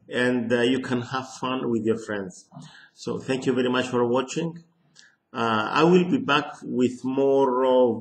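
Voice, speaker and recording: An older man with a Greek accent explains things slowly, pausing often and restarting his phrases. His voice sits in an echoey small room, picked up by a close webcam. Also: medium pitch, gravelly. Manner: slow, hesitant, many ums and restarts. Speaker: harmonica teacher. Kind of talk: casual lesson to camera. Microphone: webcam at close range.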